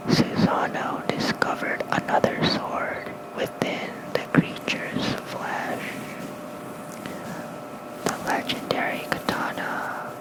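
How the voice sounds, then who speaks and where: An ASMR creator softly whispering lore as a bedtime reading with casual asides, close to a fluffy-covered microphone. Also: rough recording.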